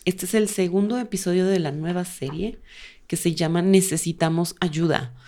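A woman's voice: on the low side, fairly even intonation, normal speed, mellow. Teasing, affectionate woman co-host. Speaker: podcast co-host. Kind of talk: casual couple chat.

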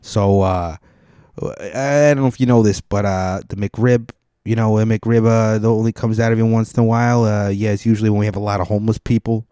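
A man puts on a brash New York caller who leans in with wild claims. His voice is low and mellow, and the recording is dry and close. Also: steady tone, fairly quick, clear, resonant tone, no echo, natural voice.

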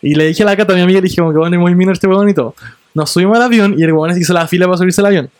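Young male podcaster, Chilean accent, high-pitched, slangy storyteller, close dry sound. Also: racing, dry recording. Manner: animated storyteller, slangy and swearing. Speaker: podcast co-host. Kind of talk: casual chat.

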